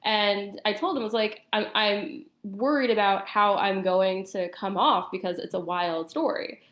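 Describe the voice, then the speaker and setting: A woman's voice: mid-range voice, unhurried, fairly bright tone. A woman guest on a talk show, casually telling a personal story in informal, hesitant phrasing. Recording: echoey room sound.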